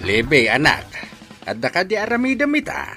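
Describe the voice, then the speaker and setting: A man's voice: fairly high pitch, wildly swooping pitch, moderate pace, pushed and bright, faint music behind, heavily acted. A man with an Ilocano accent playing an older father handing out errands in an audio drama. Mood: bossy, brisk. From Philippines. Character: older father giving errands to his children.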